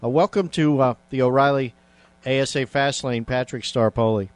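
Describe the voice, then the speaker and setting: A man's voice: medium pitch, moderate pace, no room sound. A man hosting a casual radio talk show, rambling with many fillers and restarts.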